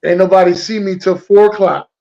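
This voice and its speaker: A man's voice: sits high, steady tone, fairly quick, vocal fry, darkish tone, muffled recording. A man hosting a casual interview, talking in a loose, slangy way and often repeating his phrases. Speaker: host.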